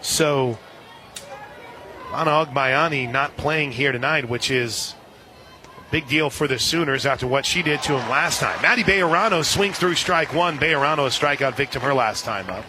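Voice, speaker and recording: A man doing live radio play-by-play commentary of a softball game. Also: medium pitch, moderate pace. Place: outdoor softball stadium broadcast position. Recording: outdoor ballpark, clear crowd noise behind.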